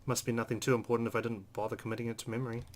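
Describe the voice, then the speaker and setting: A man's voice: mid-range voice, level tone, very fast. A man hosting a let's play, reading visual novel narration aloud with brief asides. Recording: clear background noise.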